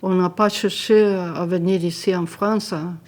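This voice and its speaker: An older woman from Chile telling her story in a halting way, searching for words. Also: on the low side, fairly even intonation, darkish tone. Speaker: interviewee. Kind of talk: personal testimony.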